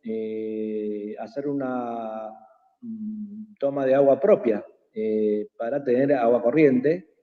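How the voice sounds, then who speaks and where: A man whose first language is Quechua, speaking slowly and hesitantly as a guest in an online workshop, with many pauses and fillers. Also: mid-range voice, fairly even intonation. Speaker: invited speaker. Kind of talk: slow reflective talk in an online workshop. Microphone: video call. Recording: tinny phone-like call audio with long reverb.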